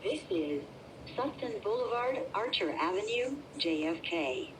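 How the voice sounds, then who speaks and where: A woman reading scripted transit announcements as a train announcer, in a measured, formal manner with segmented phrasing. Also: medium pitch, animated, background noise.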